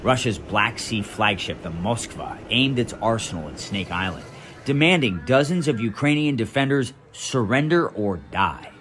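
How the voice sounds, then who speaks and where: Man, American accent, low pitch, scripted news narration, deliberate pauses, dramatic, light background noise. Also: expressive. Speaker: TV news correspondent.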